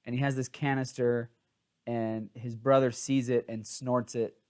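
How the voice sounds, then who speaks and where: A man's voice: mid-range voice, level tone, a little slow, darkish tone. Casual, scattered man rambling about reading. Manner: informal, scattered, hesitant, self-interrupting. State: tired. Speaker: book reviewer, host. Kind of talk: casual rambling book review chat.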